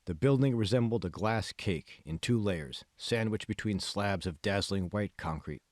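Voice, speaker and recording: A man reading scripted fiction as an audiobook narrator, in a measured, phrase-by-phrase way. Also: fairly low pitch, a little mellow, dry recording, clean studio sound. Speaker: author reading his own audiobook.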